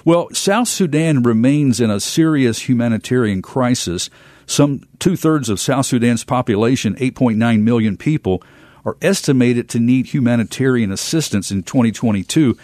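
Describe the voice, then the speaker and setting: American radio host, dry studio sound. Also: male voice, medium pitch, normal speed, a little mellow, no room sound.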